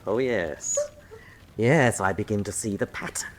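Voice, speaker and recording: A woman's voice: very deep voice, lively intonation, a little slow, very noisy. A woman playing a cold, mocking colonel and spymaster in a radio drama, with a sardonic, commanding manner. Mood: sardonic, gloating.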